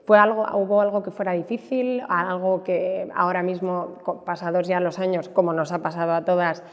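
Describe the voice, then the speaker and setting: A woman with a Spanish accent from Spain, hosting a casual, conversational interview as a podcast host. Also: lowish voice. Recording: echoey room with long reverb.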